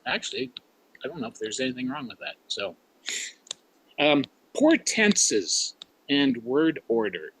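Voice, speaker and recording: Slow, musing man giving a report. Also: mid-range voice, very animated, fairly bright tone, husky, no echo, poor audio. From Canada. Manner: slow and musing, with frequent fillers. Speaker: grammarian. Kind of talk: casual meeting report.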